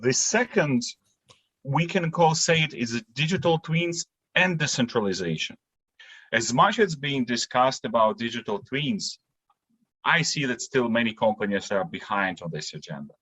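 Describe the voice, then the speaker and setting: A man's voice: mid-range voice, expressive, moderate pace, sharp tone, breathy edge, poor audio. A man, giving explanatory answers as a podcast guest. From Latvia. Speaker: podcast guest, industry consultant. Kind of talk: interview answers, explanatory talk.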